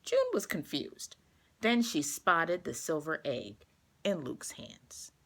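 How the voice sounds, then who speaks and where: A woman reading a children's storybook aloud, expressive and carefully paced for young listeners. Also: low voice, slow pace, gravelly, a little hiss or hum.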